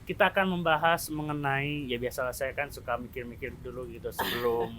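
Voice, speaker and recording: A man's voice: medium pitch, fairly even intonation, brisk, a crowd in the background. A man with a slight slur on his r sounds, hosting a casual, playful podcast chat and asking questions. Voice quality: slight slurred r (cadel). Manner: playful, colloquial, teasing banter.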